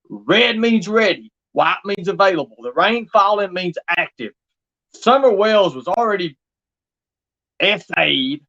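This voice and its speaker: A man's voice: a little high, a bit bright. Insistent man, muffled audio. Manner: insistent, repetitive, confrontational. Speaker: livestreamer. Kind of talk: impassioned monologue to an absent person.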